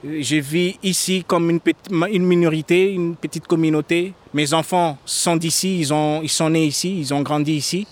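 A man's voice: on the high side, fairly even intonation. A middle-aged man of Congolese origin, a singer-songwriter, speaking earnestly to a live audience between songs. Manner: unhurried, earnest spoken asides to the crowd. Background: guitar playing.

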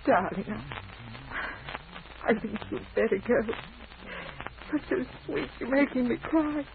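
A woman's voice: on the high side, measured pace. An actress in her forties playing a lonely, tender unmarried woman, emotional and gushing, in 1940s radio acting style. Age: 40s. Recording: tinny old radio recording with clear hiss.

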